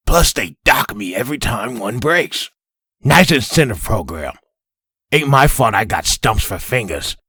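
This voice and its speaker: Guy doing a whiny rock-skinned slacker hero in a comic dub, raspy, pitch jumps around. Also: sing-song, a little slow, sharp tone, dry recording, studio quality, heavily acted. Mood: grumbling. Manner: whiny, informal, sheepish with superiors. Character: grumbling slacker superhero with stumpy rock fingers.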